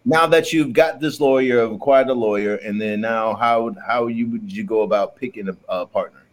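A man's voice: mid-range voice, moderate pace. A man co-hosting a podcast, chatting casually and asking questions in a jokey, colloquial way. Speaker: podcast co-host. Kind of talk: casual chat and interviewing.

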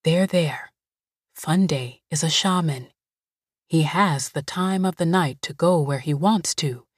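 A steady, soothing older woman in a storybook, speaking low and unhurried, as a woman reader puts her on. The voice is husky and dry, recorded close. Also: no echo, heavily acted.

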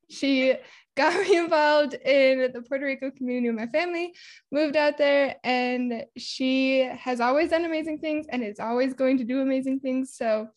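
A young woman hosting a podcast, effusive and giggly as she introduces and questions her guest. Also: high voice. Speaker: podcast host. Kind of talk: casual interview chat.

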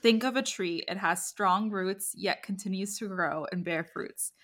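A woman with a medium-pitched voice speaks as a podcast co-host, moving between casual chat and reading out tarot cards. Her manner is informal and encouraging. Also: unhurried, fairly bright tone.

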